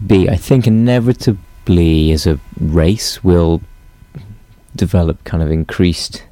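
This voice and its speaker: A man musing reflectively and hesitantly, often pausing to search for words. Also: sits low, dark tone, no echo. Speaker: interviewee.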